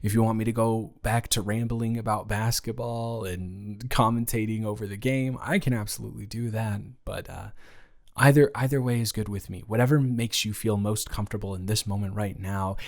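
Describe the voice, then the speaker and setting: A man's voice: fairly low pitch, steady tone, moderate pace, a little mellow, lightly acted. Hesitant, caring young male roommate played by a man. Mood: gentle reassurance. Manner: hesitant, rambling, stammering, reassuring. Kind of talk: improvised intimate roleplay audio, casual and conversational.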